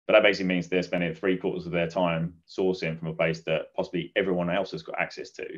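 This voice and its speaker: Middle aged guy on a podcast, really low even voice, explains stuff step by step, bit muffled. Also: fast. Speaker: guest, recruitment business advisor. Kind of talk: conversational podcast interview answers. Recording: dull, muffled lo-fi audio.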